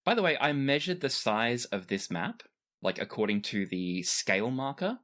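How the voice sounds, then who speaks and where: A man's voice: mid-range voice, big pitch swings, normal speed, a bit bright. A man narrating a tabletop game as game master, conversational and explanatory with chatty asides. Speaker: game master of a tabletop role-playing podcast.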